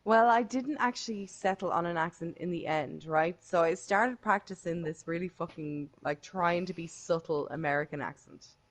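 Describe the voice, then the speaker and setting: A woman's voice: lowish voice, moderate pace, hardly any pauses. An Irish woman co-hosting a podcast, chatting casually and swearing often with exasperation. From Ireland. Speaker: podcast co-host. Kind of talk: casual chat.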